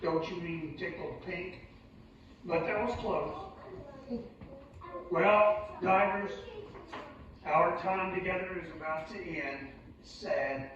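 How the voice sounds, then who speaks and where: A man's voice: high voice, expressive, takes it slowly, long pauses, fairly bright tone. A man hosting a children's church show as a submarine captain, speaking directly to the children in an instructive, prompting way. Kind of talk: interactive children's teaching with call and response. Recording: echoey hall from far off, muffled, noisy.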